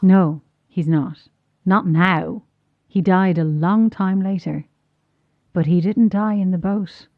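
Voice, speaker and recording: A woman playing a mysterious caller in a horror audio drama, measured, grave and insistent as she delivers hard truths. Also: fairly low pitch, steady tone, muffled recording.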